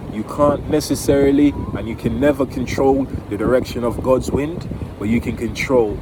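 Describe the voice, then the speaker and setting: A man with a Jamaican accent giving an earnest, emphatic, informal motivational talk outdoors, repeating key phrases. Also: medium pitch. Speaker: motivational vlogger. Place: outdoors near home by the water. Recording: very noisy outdoors, wind on the microphone.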